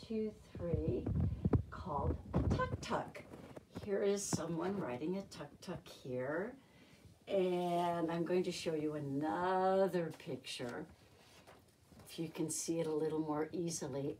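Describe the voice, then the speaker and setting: An older woman, in her sixties, reading aloud to young children as their teacher, with deliberate, expressive, simple phrasing. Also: lowish voice. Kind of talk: children's read-aloud storytelling. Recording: noisy amateur room recording with clicks.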